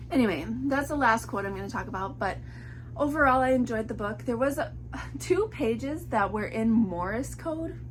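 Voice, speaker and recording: A woman's voice: mid-range voice, background noise. A young woman chatting casually in a book review vlog, rambling with many fillers, her voice a little off from a cold. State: fighting a cold.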